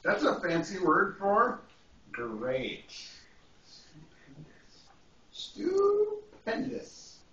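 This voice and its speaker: A man's voice: high voice, huge pitch swings, very slow, long pauses, fairly bright tone, recorded from a distance. A man reading a picture book to a small child, playfully drawing out words and adding asides. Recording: echoey, noisy, muffled home video from afar.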